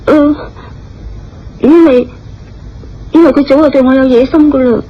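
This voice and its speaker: A woman's voice: sits high, steady tone, a little slow. An actress playing an anxious, polite young woman who skis, in a radio drama. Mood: uneasy, anxious. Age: young adult. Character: anxious, polite young female skier confiding in a stranger. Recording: dull lo-fi radio drama, music behind.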